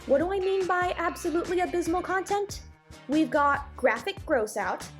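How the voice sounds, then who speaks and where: A woman giving an opinionated video review in an emphatic, blunt, disgruntled way. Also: sits high. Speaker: animation reviewer and video host. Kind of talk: opinionated video review, part scripted narration and part off-the-cuff commentary.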